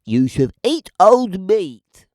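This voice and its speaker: A man's voice: high voice, big pitch swings, slow pace, darkish tone, dry recording, voice pushed up, exaggerated voice. A man voicing a chirpy, inquisitive animal friend in a storybook, with a lilting, questioning delivery. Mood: eager.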